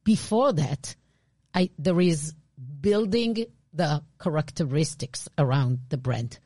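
Brief: lower-voiced Israeli woman, podcast co-host, animated and chatty, dry close sound. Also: takes it slowly, no room sound. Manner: animated, restarts often, laughs easily. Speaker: podcast co-host, branding expert. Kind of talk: casual conversational explanation.

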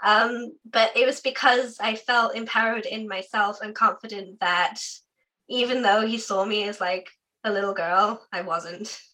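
A young adult guest from the UK, talking casually and chattily in an interview, with lots of "like" fillers and a sore voice. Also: female voice, medium pitch, steady tone, measured pace, pushed and bright, rough recording. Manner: chatty, frequent "like" fillers, laughs often.

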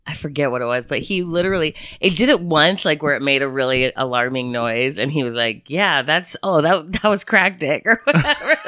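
A woman's voice: sits low, moderate pace, few pauses, no room sound. A woman co-hosting a casual podcast, telling stories with lots of swearing and laughter. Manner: animated storyteller, swearing and laughing often. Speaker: podcast co-host. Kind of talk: casual chat.

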